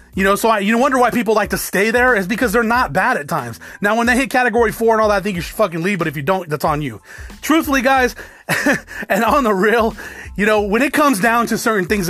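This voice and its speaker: A man's voice: sits high, animated, a little fast, pushed and bright, no room sound, faint music behind. A man from the United States talking casually to his viewers in a rambling, profane rant that restarts and repeats itself. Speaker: vlogger.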